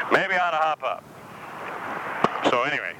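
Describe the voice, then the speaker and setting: High-voiced jet-lagged man preaching. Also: very animated, a little slow, very noisy. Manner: earnest, informal, addresses listeners as brother. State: jet-lagged, slightly scratchy voice. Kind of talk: conversational sermon.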